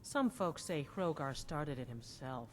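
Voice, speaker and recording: An actress playing a plain-spoken, gossipy innkeeper in a fantasy game, talking in a frank, folksy way. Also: female voice, deep voice, animated, unhurried, a little hiss or hum.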